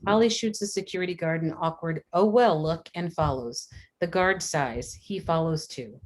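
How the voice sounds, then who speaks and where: A woman's voice: lowish voice, normal speed, darkish tone. A woman narrating scripted stage directions for a screenplay at a table read.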